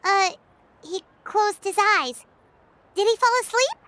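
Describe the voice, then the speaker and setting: A woman voicing a tiny, excitable, childlike fairy companion in a video game, with a squeaky voice. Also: measured pace, a little hiss or hum, exaggerated voice. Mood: wistful, puzzled. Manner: refers to self in third person, exclaiming. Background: music.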